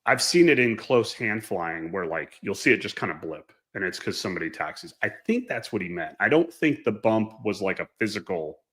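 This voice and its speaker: A man's voice: on the low side, big pitch swings, fairly quick, hardly any pauses, fairly bright tone, breathy edge. A man, an airline pilot on a casual panel, talking informally and often breaking off mid-thought to hedge.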